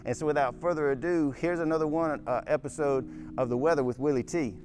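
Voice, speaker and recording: A man hosting a casual sports talk show, relaxed and conversational, plugging games and broadcasts. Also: on the high side, fast talker. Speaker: podcast host. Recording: clear music in background.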